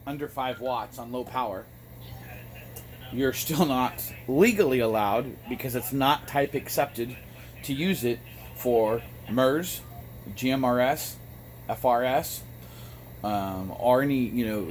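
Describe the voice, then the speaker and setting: A man giving an informal, halting explanation to camera as a hobbyist radio vlogger. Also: medium pitch. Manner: halting, explanatory, apologetic asides. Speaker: amateur radio operator and vlogger. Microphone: phone front camera. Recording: noisy phone recording with background radio. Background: two-way radio sounding in background.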